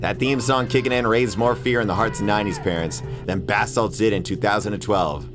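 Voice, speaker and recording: Man, thirties, low voice, casual essay narration, irreverent, profane, music in background. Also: fairly quick. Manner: irreverent, opinionated, slangy, profane. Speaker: video essayist, channel host. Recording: music playing behind the voice.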